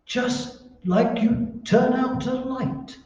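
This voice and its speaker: Man acting a meek stammering husband, radio horror drama, mellow tone, muffled echoey distant recording. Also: very high-pitched, fairly even intonation, very slow, heavily acted. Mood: meek, flustered. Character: timid, henpecked husband, meek and bewildered.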